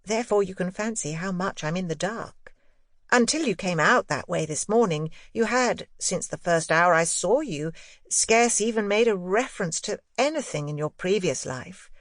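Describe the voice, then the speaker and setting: A woman's voice: fairly low pitch, expressive, normal speed, no room sound, studio quality. A woman playing an anxious, tender governess who questions a child earnestly in a literary audiobook. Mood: probing. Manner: earnest, probing. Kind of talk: audiobook dialogue, literary ghost story.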